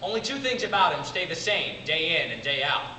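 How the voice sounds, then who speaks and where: A man acts out a devoted, weary big brother in a theatrical monologue. His voice is fairly high and swings widely, with deliberate pauses between short phrases. It is heard from a distance over clear noise in an echoey room. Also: big pitch swings, pushed and bright, heavily acted. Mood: earnest, emphatic. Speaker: amateur drama club actor.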